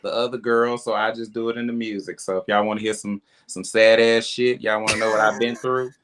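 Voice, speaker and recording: Man, hoarse, sassy, profane, bright tone. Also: on the low side, level tone, fairly quick. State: hoarse, recovering voice. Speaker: singer promoting his single. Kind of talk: casual panel chat.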